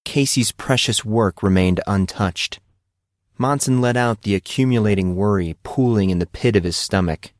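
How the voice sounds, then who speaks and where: A man's voice: fairly low pitch, moderate pace, dry recording, clean studio sound. A man narrating a scripted story as an audiobook narrator.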